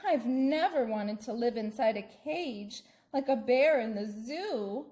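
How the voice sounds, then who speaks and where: A woman's voice: on the high side, lively intonation, slow, warm tone, voice pushed up. A woman voicing a small, innocent, adventurous boy toy bear full of wonder in a children's storybook. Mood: curious. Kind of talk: children's storybook read-aloud.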